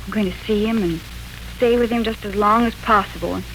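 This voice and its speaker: An actress playing a brisk, defensive, practical grown daughter in a 1940s radio drama. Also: female voice, medium pitch, fairly bright tone, a little hiss or hum, close to an everyday voice, low-quality recording.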